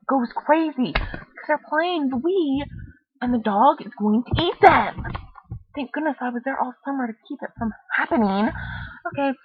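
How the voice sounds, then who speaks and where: Woman doing storytime on her laptop mic, bit husky, rambly n playful, voice goes up and down, dull lofi sound. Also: sits high, dry recording. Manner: rambling, playful, mock-dramatic. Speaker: storyteller reading a picture book. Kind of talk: casual read-aloud with chatty asides. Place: at home, close to a laptop on a bed. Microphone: laptop webcam microphone. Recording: muffled lo-fi laptop webcam audio.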